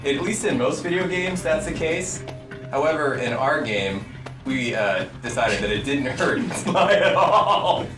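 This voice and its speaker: A man's voice: a little high, lively intonation, a little slow. Wry, dry-joking man, distant roomy mic. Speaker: game developer. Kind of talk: casual developer commentary over gameplay. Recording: roomy, distant mic, game music behind.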